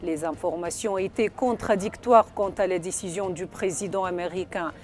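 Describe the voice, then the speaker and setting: A woman narrating a scripted news report in a formal, measured delivery. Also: fairly low pitch, a little hiss or hum. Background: background music.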